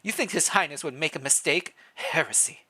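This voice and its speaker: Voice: mid-range voice, fairly quick, bright, forceful tone, breathy edge, voice pushed up. A reader voicing a haughty, theatrical gothic princess who is easily scandalized, in a live story reading. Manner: theatrical, gasping, indignant.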